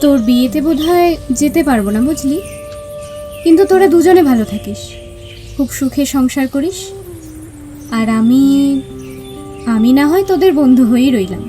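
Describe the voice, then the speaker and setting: A high-voiced, emotional young woman. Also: lively intonation, moderate pace, long pauses, mellow. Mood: hurt, resigned. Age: young adult. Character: chatty, lovestruck young woman, lively and tender. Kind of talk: audio drama acting. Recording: music under the voice.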